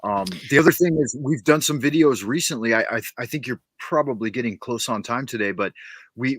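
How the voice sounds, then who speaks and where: Bright punchy guy hosting an interview, dry close sound, upbeat, stumbles a bit starting sentences. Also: medium pitch, no echo. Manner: upbeat, promotional, stammers on starts. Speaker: interview host. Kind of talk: casual interview conversation.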